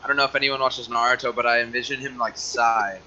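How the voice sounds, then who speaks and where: Man, very bright tense voice, chatty, dull lo-fi sound. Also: medium pitch, level tone, fast talker, a little hiss or hum. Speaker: player. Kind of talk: casual chat.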